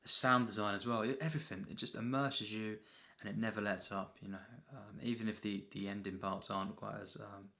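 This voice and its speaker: A man giving an unscripted, rambling film review as a reviewer, in a halting, repetitive way full of filler phrases. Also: fairly low pitch, fairly even intonation, vocal fry, pushed and bright.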